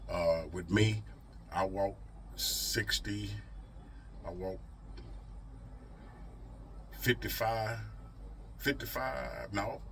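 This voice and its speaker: Middle aged guy rambling on a vlog, lowish voice, folksy n emphatic, repeats himself, mic sounds kinda far off. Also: fairly even intonation, very slow, creaky, long pauses, fairly bright tone, slight background noise. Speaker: truck driver vlogger. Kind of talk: casual vlog monologue.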